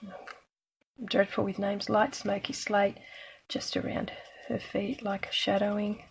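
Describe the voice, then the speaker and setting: A middle-aged woman from Australia, slightly croaky today, chatting casually and self-deprecatingly while she demonstrates card-making. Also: medium pitch, level tone, slow pace, low-quality recording. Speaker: craft demonstrator.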